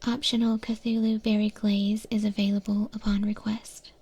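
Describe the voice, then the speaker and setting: A woman playing a patient monster woman who mentors a newcomer in a restaurant kitchen, in a hushed, breathy ASMR roleplay whisper. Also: medium pitch, level tone. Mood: hushed, measured. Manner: slow, hushed, gently instructive.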